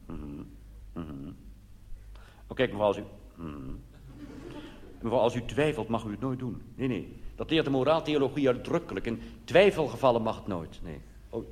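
A man playing an obliging, fussy church helpline clerk in a cabaret sketch, officious and reassuring, pausing as if listening. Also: medium pitch, close to an everyday voice. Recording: hissy old live theatre recording, long reverb.

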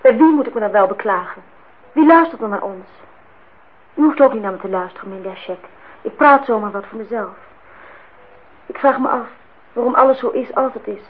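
A woman's voice: fairly high pitch, fairly quick, pauses often, natural voice. An actress playing an indignant, disillusioned young woman in a Dutch radio play, outspoken and reproachful as she speaks her mind. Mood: defensive, upset. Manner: reproachful, talks in long outpourings. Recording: dull, noisy old radio play recording.

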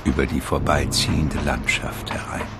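A man narrating a radio drama in a measured, atmospheric, literary manner. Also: very deep voice, level tone, over loud music.

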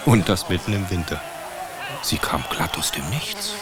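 A man's voice: sits low, wildly swooping pitch, unhurried, very noisy, full character voice. A man playing the storyteller of a children's radio play, reading scripted storybook narration. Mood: narrating.

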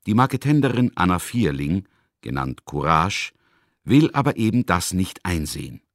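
A man presenting scripted literary commentary in a measured, articulate and emphatic way. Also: low-pitched, very animated, no room sound, clean studio sound. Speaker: radio presenter introducing a classic play.

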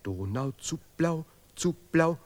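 Radio-play role: grandiose scholar guarding art, slow, declamatory, repetitive, deliberately ungrammatical. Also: male voice, fairly high pitch, lively intonation, darkish tone. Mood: grave, pompous. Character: self-important Nobel laureate professor, fervent guardian of German art. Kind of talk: experimental radio play dialogue in broken, chanted German.

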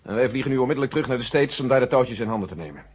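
An actor playing a blunt, authoritative male general with a husky voice in a science-fiction radio play. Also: medium pitch, expressive, very fast. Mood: worried, stern. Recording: muffled vintage radio recording.

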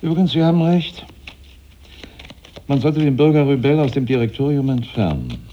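An actor playing a shrewd, flattering banker of Napoleonic France in a German radio drama, speaking politely and insinuatingly. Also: male voice, mid-range voice, normal speed, highs cut off, a little hiss or hum, natural voice. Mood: persuasive.

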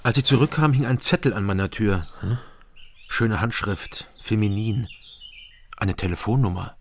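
A man's voice: fairly low pitch, moderate pace, slight background noise, close to an everyday voice. An actor playing a laconic East German ex-policeman and private detective in a radio crime drama, terse and matter-of-fact. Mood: dry, brooding. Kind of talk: radio crime drama, first-person narration and dialogue. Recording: music behind the voice.

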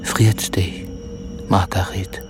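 A brooding, tormented man in a literary radio drama. His lowish voice moves extremely slowly, in halting phrases that often sink into a whisper. Music plays behind him. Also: little pitch movement. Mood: brooding, uneasy.

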